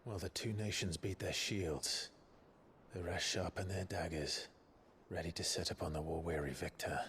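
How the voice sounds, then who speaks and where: An actor playing a grim, scowling young warrior who leads a resistance, talking in a terse, commanding way. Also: male voice, low-pitched, steady tone, moderate pace, a little hiss or hum. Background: loud orchestral score.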